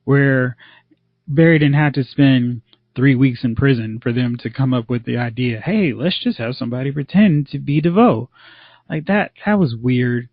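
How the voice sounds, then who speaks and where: A man's voice: medium pitch, normal speed, no room sound. Man chatting about TV, lo-fi. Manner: relaxed, chatty, opinionated. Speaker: podcast co-host. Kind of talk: casual TV review chat. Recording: slightly dull lo-fi audio.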